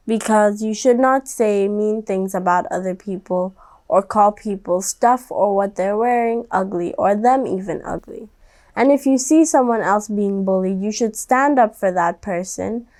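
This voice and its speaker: A woman hosting a children's read-aloud, speaking directly to young listeners in a gently instructive way. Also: mid-range voice. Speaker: storybook reader and host.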